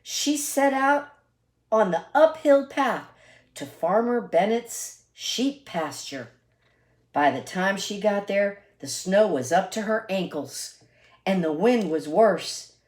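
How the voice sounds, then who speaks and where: A woman reading a children's picture book aloud expressively, deliberate and emphatic, with asides to listeners. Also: medium pitch, animated, darkish tone. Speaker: read-aloud storyteller.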